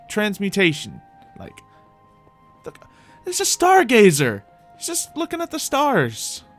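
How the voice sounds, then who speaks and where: A man's voice: a little high, fairly bright tone. A man hosting a gaming roleplay video, giving playful, theatrical commentary as he talks to himself and his viewers. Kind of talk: in-character gameplay commentary. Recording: music behind the voice. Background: music.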